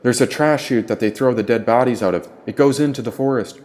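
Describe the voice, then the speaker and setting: A man's voice: mid-range voice, a little fast, darkish tone, close to an everyday voice. A man voicing a mysterious male opossum warrior in an audiobook, solemn and cryptic in manner. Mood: proud. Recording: echoey, long reverb.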